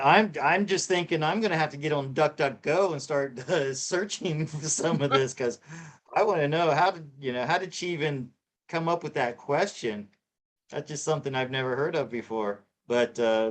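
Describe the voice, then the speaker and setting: A middle-aged man co-hosting a live tarot show in casual chat, halting and repeating himself with many fillers. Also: a little high. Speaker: co-host reading tarot cards.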